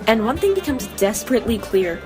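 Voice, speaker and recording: Earnest robot heroine female voice actor, reflective comic-dub narrator, lightly high register, music behind. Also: very animated, quick pace, a crowd in the background. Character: earnest, determined young robot heroine narrating her quest. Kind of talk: amateur comic dub, scripted narration and dialogue. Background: clear music bed.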